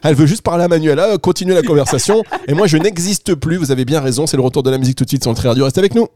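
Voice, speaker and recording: A man's voice: a little high, racing, warm tone, no echo, clean studio sound. A man hosting a radio call-in show, joking and laughing often. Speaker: radio host.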